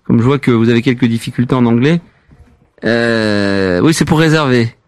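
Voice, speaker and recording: A man's voice: medium pitch, normal speed. A French man hosting a radio show, joking around and playing a prank call in broken English. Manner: hams it up in broken English, laughing often. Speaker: radio host.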